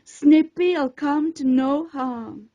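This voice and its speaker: A woman's voice: sits high, very slow, dark tone. A woman voicing a cheerful, plucky female lab worker with a soothing, mischievous streak in a rhyming storybook, slightly dull recording.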